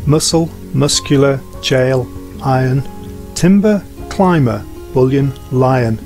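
Slow, gravelly British man reciting. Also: medium pitch, a little mellow. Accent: British English. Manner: careful, deliberate enunciation. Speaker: English teacher.

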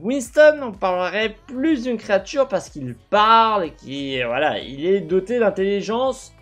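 A young man giving casual, speculative commentary as a gaming video host, halting at times and asking himself questions. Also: sits high, big pitch swings, fairly bright tone, soft background music. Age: young adult. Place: indoor gaming setup.

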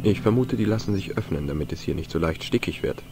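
Low-voiced, easygoing young investigator. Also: male voice, animated, fast talker. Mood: observing, wry. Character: easygoing, curious young male amateur investigator. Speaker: game protagonist and narrator. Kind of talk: adventure game voice acting, German dub. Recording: game music under the voice.

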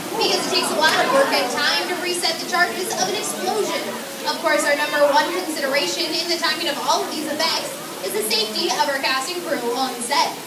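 A woman hosting a live outdoor theme park show as its director, lively and upbeat, directing a volunteer and cast. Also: extremely high pitch, a little fast. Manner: energetic, upbeat, directing the crowd. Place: outdoor theme park stage by a water tank. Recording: distant echoey PA, loud crowd and noise.

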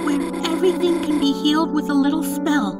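A timid, gentle young reindeer girl acted by a woman for a game fandub. Her voice is high and girlish, over clearly audible music. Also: fairly even intonation, brisk, close to an everyday voice. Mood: cheerful, shy. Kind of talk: video game fandub acting.

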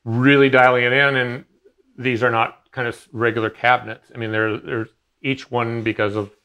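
A man in his fifties talks through a machine in his workshop, voice sitting in an ordinary middle pitch. He wanders through his points with plenty of fillers and restarts. It sounds like a casual chat at a bench in a big garage shop. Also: flat delivery, normal speed, sharp tone, clear, resonant tone. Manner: rambling, many fillers and restarts. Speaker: CNC machine dealer. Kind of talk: casual explanation in a workshop conversation. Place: large home garage workshop.

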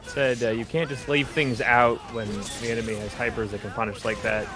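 Male co-commentator, medium pitch, clipped casual fighting game insights, noticeable game music behind. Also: level tone, fairly quick. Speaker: esports co-commentator. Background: game music under the commentary.

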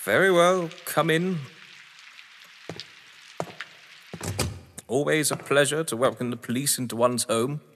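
An actor playing a haughty, well-to-do English gentleman in an audio drama, florid and evasive, with an English accent. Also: medium pitch, normal speed, a little hiss or hum, close to an everyday voice. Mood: airy, polite. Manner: pompous, florid, theatrical. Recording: reverberant hall with music behind.

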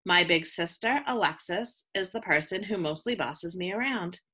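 A woman reading a children's picture book aloud like a teacher, with expressive delivery aimed at young listeners. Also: lowish voice, normal speed. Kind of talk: children's read-aloud, scripted narration.

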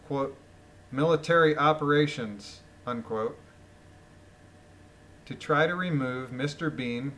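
A man with a phlegmy voice reading a document aloud with casual, incredulous, mocking commentary, often clearing his throat. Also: medium pitch, a bit bright. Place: voice-over of a screen recording.

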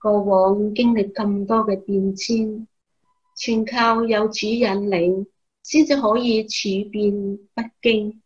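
A woman with a Hong Kong Cantonese accent playing Mary, a gentle, devout mother, solemnly giving testimony in a Christmas radio play. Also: medium pitch, fairly even intonation, breathy edge. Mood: grateful, solemn. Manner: slow, solemn, earnest. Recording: dull lo-fi recording.

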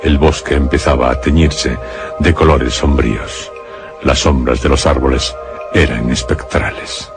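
A man with an accent from Spain narrating a scripted radio suspense story in a solemn, dramatic, deliberately phrased way. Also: rumbling low, steady tone, vocal fry, over music.

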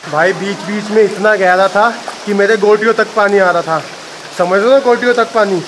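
A man's voice: high-pitched, fairly even intonation. A young man in his twenties filming a casual travel vlog on a phone at arm's length in a wet cave and forest trail, chatting to viewers and sounding worn out from the climb. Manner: chatty, addresses viewers as guys and bhai. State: tired, out of breath from climbing. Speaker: travel vlogger. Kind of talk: casual selfie vlog narration. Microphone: handheld phone camera at arm's length. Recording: loud rushing water noise behind. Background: waterfall and stream.

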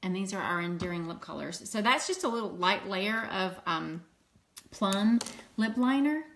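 A woman's voice: medium pitch, moderate pace. Woman, 50s, mild southern accent, casual livestream chat, phone mic close up, indoors at home. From Louisiana, US. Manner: chatty, greets viewers, laughs often, excitable. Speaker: makeup seller hosting a livestream. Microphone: phone camera close up.

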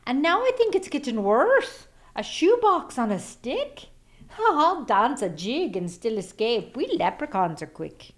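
A woman's voice: high voice, very animated, a little slow. A woman voicing a cocky, mischievous little old leprechaun who taunts in singsong storybook rhymes. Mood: taunting.

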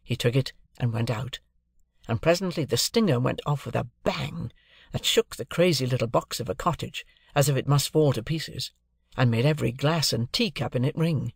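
A man's voice: medium pitch, normal speed, creaky, slightly rough, no room sound, clean studio sound. A man reading a classic novel as scripted audiobook narration.

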